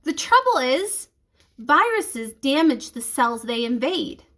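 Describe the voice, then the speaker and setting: Lively, high-voiced, patient science teacher read by a woman. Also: takes it slowly, full character voice. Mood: instructive. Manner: patient, explanatory. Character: enthusiastic female science teacher, reassuring and explanatory. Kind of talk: children's storybook reading.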